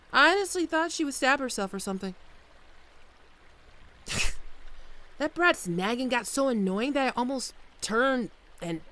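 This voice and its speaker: Girl doing a bodyguard wife asmr thing, affectionate and playful, soothing storytelling, kinda wry, mid pitch. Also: fairly bright tone. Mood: wry, exasperated. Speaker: voice actor in an audio roleplay. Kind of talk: ASMR roleplay, intimate one-sided dialogue.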